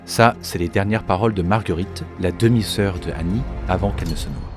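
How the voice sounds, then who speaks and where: A man's voice: low-pitched, fairly quick, over music. A man giving explanatory commentary as a video game lore creator.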